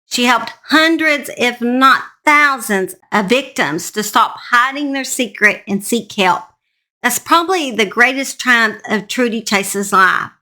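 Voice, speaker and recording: An older woman from a true-crime channel speaks with a Southern US accent. Her voice is bright and sits slightly high. She tells stories casually, in a folksy, emphatic way. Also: animated, unhurried.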